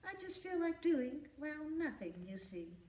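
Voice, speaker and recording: A woman's voice: high-pitched, sing-song, a little slow, mellow, voice pushed up. Woman reading as carefree lazy doozer boy, echoey. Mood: carefree, puzzled. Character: young male doozer, carefree, stubbornly idle storybook child. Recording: echoey room, distant from the mic.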